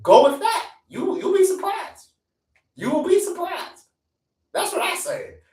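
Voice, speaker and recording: A man's voice: extremely high pitch, sing-song, a little slow, a little mellow, far from the mic. A man in his twenties hosting a live stream in a casual, profane rant that repeats lines for emphasis. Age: 20s. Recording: echoey room, away from mic.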